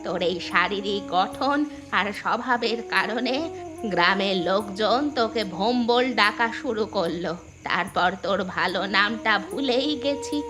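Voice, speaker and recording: A woman's voice: medium pitch, unhurried, over music, natural voice. A woman playing a poor, humble, long-suffering village mother in a cartoon, plaintive and loving. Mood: sorrowful. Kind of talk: Bengali fairy tale cartoon dubbing.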